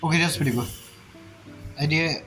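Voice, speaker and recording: A man's voice: fairly high pitch, moderate pace, low-quality recording. A man giving casual, blunt, slangy reactions as he plays a game, with game audio behind him. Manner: slangy, blunt, repetitive interjections. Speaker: game streamer. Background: game music and dialogue.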